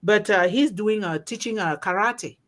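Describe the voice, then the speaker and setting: Woman, discussion host, from Africa, emphatic, repetitive phrasing, impassioned storytelling, mid-pitched. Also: moderate pace. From born and raised in Africa. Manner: emphatic, repeats phrases for effect. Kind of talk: impassioned informal storytelling and exhortation.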